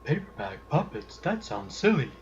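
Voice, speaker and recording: A man's voice: on the high side, sing-song, moderate pace, muffled recording, a little hiss or hum. A man voicing a nice, naive puppet who hosts and narrates a children's story show. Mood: eager.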